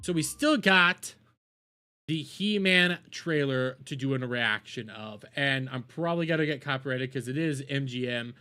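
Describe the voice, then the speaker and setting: A man's voice: mid-range voice, animated, moderate pace, pushed and bright, smooth, faint music behind. A man giving casual, rambling livestream commentary and reactions in a blunt, emphatic, profane way.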